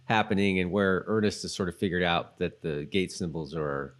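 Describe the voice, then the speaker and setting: Male podcast co-host, lowish voice, casual and rambling, feels his way through explanations. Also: normal speed. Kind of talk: casual rewatch chat.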